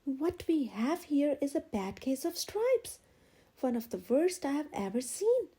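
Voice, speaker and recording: Kind old woman acted by a woman, storybook healer, gentle, reassuring, high mellow voice. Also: very animated, measured pace. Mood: concerned, helpful.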